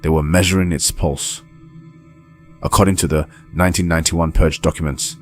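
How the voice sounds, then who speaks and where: A man reading a scripted horror documentary in a grave, measured, deliberate storytelling manner. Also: sits low. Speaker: narrator.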